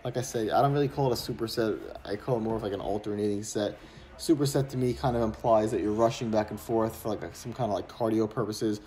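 A man's voice: lowish voice, quick pace. A young man in his twenties, a fitness vlogger, talking casually and explaining things with lots of fillers. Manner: relaxed, explanatory, filler-heavy. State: getting sick. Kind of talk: casual vlog talk to camera.

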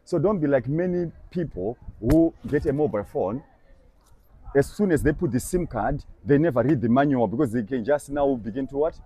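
A man's voice: medium pitch, lively intonation, normal speed, dark tone, breathy edge, poor audio. A young man preaching on a busy shopping street, talking earnestly and persuasively to a passer-by and repeating scripture back line by line. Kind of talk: street evangelism conversation.

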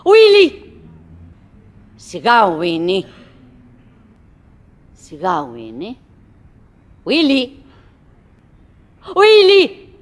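An actress playing a chattering, wistful, anxious woman in a theatrical radio drama monologue, halting and repeating herself, swinging between murmurs and cries. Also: high voice. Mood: calling out.

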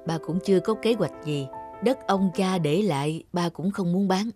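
An actor playing a kindly, firm elderly father in a cartoon, speaking in a measured way. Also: high-pitched, darkish tone, faint music behind, close to an everyday voice.

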